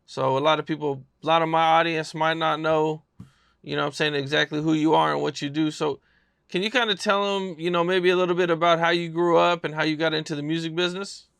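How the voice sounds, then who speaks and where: A man's voice: a little high, steady tone, fast talker, vocal fry, fairly bright tone, clear, resonant tone, no room sound, studio quality. A man hosting a casual interview podcast, prompting his guest with conversational questions.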